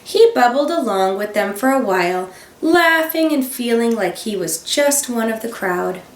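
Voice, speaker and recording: A woman teacher reading a children's picture book aloud, expressive, with gasps and dramatic emphasis. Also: fairly high pitch, measured pace. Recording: roomy sound, voice a bit far from the mic.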